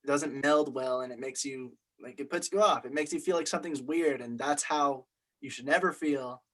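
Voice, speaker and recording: A man's voice: mid-range voice, moderate pace, a bit bright. A man in a casual group discussion, talking in a conversational, hedging way. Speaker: media studies student.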